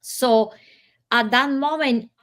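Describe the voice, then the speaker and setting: A woman from Colombia, slowly and reflectively telling her own story as an interview guest. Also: fairly high pitch. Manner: slow, reflective, with soft laughs and pauses.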